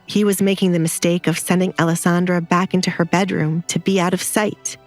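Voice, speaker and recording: A woman's voice: lowish voice, level tone, brisk, faint music behind. A woman narrator reading a scripted romantic drama in a storytelling style.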